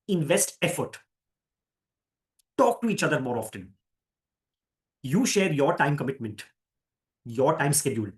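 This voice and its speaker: A man with an Indian accent giving an orientation talk as a company director, speaking deliberately and emphatically, repeating phrases for emphasis. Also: on the high side. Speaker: managing director leading an orientation. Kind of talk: orientation talk to new franchise partners.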